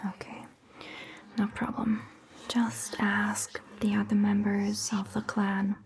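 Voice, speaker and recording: A woman playing a gentle, reassuring vampire doctor in a whispered, breathy ASMR roleplay, soothing and patient. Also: medium pitch, monotone, noisy background. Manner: slow, soothing, patient explaining.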